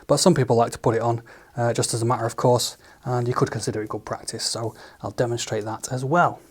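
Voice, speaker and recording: A man giving a relaxed, methodical hands-on tutorial as a studio engineer, walking through each step with the odd filler. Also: medium pitch, quick pace. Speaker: studio engineer demonstrating equipment.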